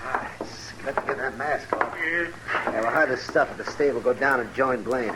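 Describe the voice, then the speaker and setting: An actor playing a nervous bank robber with rough, folksy speech in an old radio western. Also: male voice, mid-range voice, normal speed, natural voice. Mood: hurried. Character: nervous male bank robber, caught outlaw. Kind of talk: 1950s radio western acting. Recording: old radio broadcast, faint noise.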